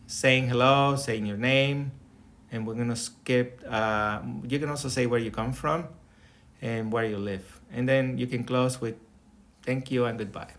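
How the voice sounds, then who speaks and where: A middle-aged Colombian man with a Colombian accent teaches a beginners' Spanish class online. He explains each step slowly and keeps checking in with "okay?". His voice comes through a laptop mic on a video call from a small room. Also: medium pitch, fairly bright tone. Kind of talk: online lesson with screen share. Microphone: webcam or laptop mic on video call.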